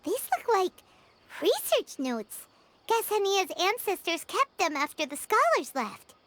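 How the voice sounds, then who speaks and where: Tiny excitable female game fairy: squeaky, extremely high, wildly swooping delivery, music underneath. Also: unhurried, slight background noise, full character voice. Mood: thoughtful, curious. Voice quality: squeaky, childlike. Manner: exclamatory, refers to herself in third person. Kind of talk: video game character acting.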